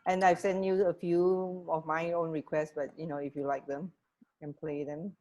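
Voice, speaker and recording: A woman's voice: low voice, flat delivery, moderate pace, dark tone, highs cut off. A middle-aged woman co-hosting a radio show in casual, hesitant chat over a video call from home. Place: home living room with stairs, on a video call. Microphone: earphone mic on a video call.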